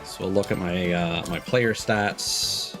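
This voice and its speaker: A man talking casually as a live streamer, halting and hesitant as he thinks aloud. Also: deep voice, steady tone, a bit bright, faint music behind. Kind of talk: casual livestream chat.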